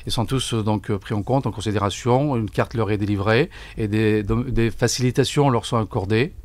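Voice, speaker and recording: A middle-aged man giving formal, deliberate answers in a radio interview, often repeating words as he searches for the next. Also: fairly low pitch, a little mellow, no room sound. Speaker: book fair coordinator, interview guest. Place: radio studio. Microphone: broadcast microphone on desk stand.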